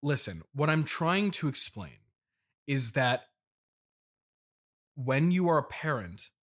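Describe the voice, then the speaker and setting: A man's voice: medium pitch, sing-song, takes it slowly, a bit bright, heavily acted. A man playing a patient, exasperated billionaire father hosting a comedy podcast interview, placating and hesitant. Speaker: podcast host.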